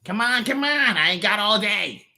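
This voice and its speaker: A man performs a pompous little cartoon schemer with a short fuse. The voice is very bright and forceful, swinging up and down as he bosses and sneers his way through the story. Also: fairly high pitch, very animated, normal speed, pitched above the performer's own voice, exaggerated voice. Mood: bossy, demanding. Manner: brash, sarcastic, bossy. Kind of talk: comic book read aloud in character voices.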